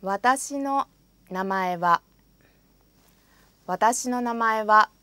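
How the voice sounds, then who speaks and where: A woman with a fairly high voice models phrases for a language lesson. She says each line with careful pronunciation and then repeats it. The recording is dry and close. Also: very slow, bright, forceful tone, no room sound. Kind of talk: slow, repeated example phrases. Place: studio.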